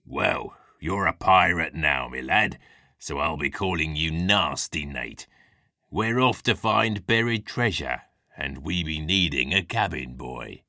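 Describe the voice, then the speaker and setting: A hearty pirate captain from a children's storybook, very low and forceful. He talks in a salty West Country pirate burr, theatrical and growly, and takes his time over the words. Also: animated, takes it slowly, no room sound, clean studio sound, heavily acted. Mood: hearty, welcoming. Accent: stage West Country pirate. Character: gruff hearty old-salt pirate captain, a scheming grown man. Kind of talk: acted children's storybook dialogue.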